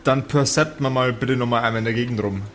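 A man's voice: mid-range voice, fast. A man improvising narration and rulings as the game master of a tabletop game. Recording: clear background noise.

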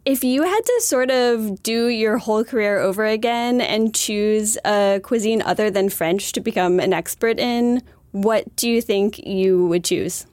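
A woman's voice: medium pitch, measured pace, hardly any pauses, a little mellow, no echo. A woman from the United States interviewing a guest, friendly and posing long, framed questions.

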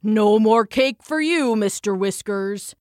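A rough, mocking burly workman, as a woman storyteller puts him on. He talks slow and heavy, with plenty of swing in his voice. The sound is close and dry. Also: expressive, darkish tone, no echo. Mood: gruff mocking. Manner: gruff, mocking, lowered voice.

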